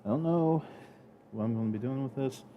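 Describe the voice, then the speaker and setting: A man's voice: medium pitch, fairly quick, narrow, tinny audio, a little hiss or hum. A man streaming a game from home, talking in a rambling, hesitant, self-deprecating way. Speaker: gaming streamer. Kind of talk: casual gameplay commentary.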